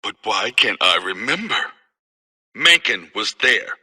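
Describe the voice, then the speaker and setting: A man's voice: lowish voice, sing-song, measured pace, bright, forceful tone, husky, full character voice. A man playing a confused, angry escaped supervillain in a video game.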